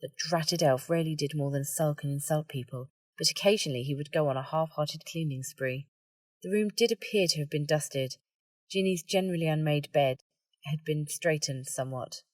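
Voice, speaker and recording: A woman's voice: deep voice, moderate pace, no room sound. A woman reading a story aloud as scripted audiobook narration.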